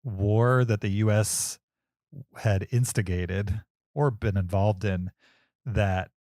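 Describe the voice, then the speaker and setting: A man's voice: on the low side, steady tone, slow pace, a little mellow, no echo, studio quality. A man co-hosting a film podcast, explaining things casually in a hesitant, roundabout way.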